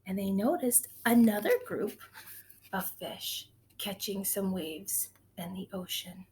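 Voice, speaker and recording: A woman's voice: medium pitch, slow pace, darkish tone, slight background noise. A woman teaching young children in an encouraging, sing-song way, asking them questions as she counts, chants and tells simple stories.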